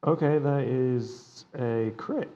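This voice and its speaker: Male player, medium pitch, casual in-game chatter, live tabletop show. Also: slow pace, warm tone, highs cut off. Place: live convention show before an audience.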